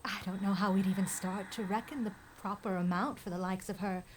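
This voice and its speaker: A woman playing a composed, learned healer in a fantasy audio drama, speaking in formal, measured wording. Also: medium pitch, steady tone, a little hiss or hum, natural voice. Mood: calm, serious.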